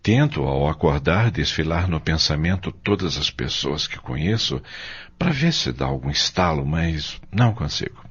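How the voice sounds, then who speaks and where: A very low-voiced, heavily husky middle-aged father played by a man in an audio soap opera. He is troubled yet caring and commanding, speaking in earnest, halting phrases with wide swings of intonation. The recording is muffled. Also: big pitch swings, a bit bright, full character voice. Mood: troubled, puzzled.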